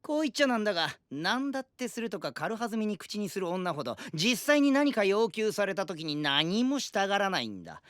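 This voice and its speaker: A woman's voice: medium pitch, sing-song, a little fast, hardly any pauses, dry recording, clean studio sound, natural voice. Woman acting brash, taunting young guy, swooping delivery. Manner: rough, mocking, provocative speech. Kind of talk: Japanese anime audio drama acting.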